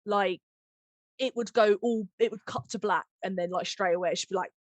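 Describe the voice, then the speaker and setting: Animated woman chatting as podcast host. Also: mid-range voice, fairly quick, no echo. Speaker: host and audio drama editor. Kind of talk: casual podcast chat.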